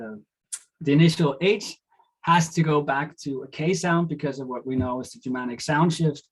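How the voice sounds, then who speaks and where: Danish-native man giving a halting lecture. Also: mid-range voice, poor audio. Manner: hesitant, many fillers and restarts. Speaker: doctoral researcher lecturing. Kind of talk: academic lecture with slides.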